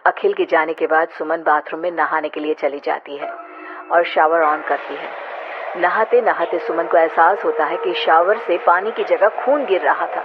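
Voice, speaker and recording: A woman's voice: deep voice, normal speed. A woman giving scripted narration of a horror story as its narrator. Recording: tinny, noisy band-limited audio.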